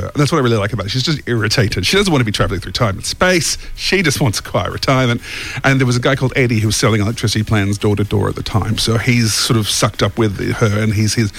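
An Australian man talks very quickly in a radio studio interview. He is a chatty guest, telling stories in a casual, self-mocking way at a middling pitch. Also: a bit bright. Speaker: radio show guest, audio comedy writer.

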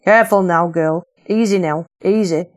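A woman's voice: lowish voice, normal speed, close to an everyday voice. A woman voicing a blunt, tough older rebel leader, in an audiobook. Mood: gruff. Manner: blunt, gruff dialect.

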